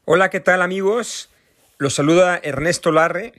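A man in his thirties with a Mexican accent, speaking in a recorded video message as a parent and association founder, earnest and thoughtful, pausing often to find his words. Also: sits high, a bit bright, dry recording, studio quality. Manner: earnest, thoughtful, with frequent hesitations.

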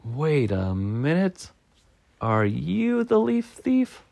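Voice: medium pitch, big pitch swings, extremely slow, creaky, a little mellow, no room sound, pitched above the performer's own voice, exaggerated voice. A reader voicing a frantic, suspicious squirrel in a picture book, melodramatic and exclaiming. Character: frantic, suspicious squirrel fretting over stolen leaves. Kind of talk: picture-book read-aloud.

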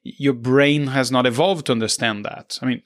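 A man whose first language is Portuguese, hosting a podcast interview in a conversational, halting way with frequent restarts. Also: medium pitch, fairly even intonation. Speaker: podcast host.

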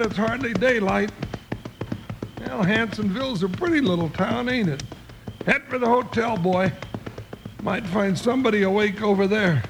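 An American actor playing a big, folksy, blustery cowboy sidekick in an old-time radio western, with a high, gravelly voice. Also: male voice. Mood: easygoing. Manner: folksy drawling frontier talk, impatient exclamations. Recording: hissy old radio broadcast recording.

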